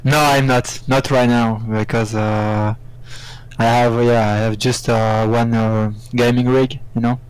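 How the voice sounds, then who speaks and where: Belgian guy on a voice chat, second-language english, kinda halting, mid pitch, casual. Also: level tone, clear, resonant tone, poor audio. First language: not English. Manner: halting non-native phrasing. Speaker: live chat participant.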